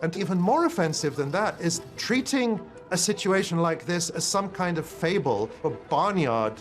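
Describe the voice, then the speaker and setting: A man comments in an interview with deliberate indignation. His voice sits slightly high for a man. Soft music plays in the background. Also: lively intonation.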